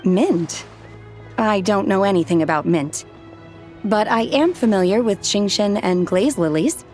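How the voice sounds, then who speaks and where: A woman playing a calm, earnest, reserved young restaurant helper in a video game, composed and polite. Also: mid-range voice, measured pace, faint music behind, natural voice. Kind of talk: video game cutscene dub acting.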